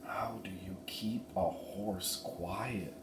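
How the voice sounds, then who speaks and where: A man's voice: low-pitched, steady tone, very slow. A man voicing an anxious young storybook boy, full of doubt, with whispery, fretting questions and asides. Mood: worried. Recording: roomy echo, faint background noise.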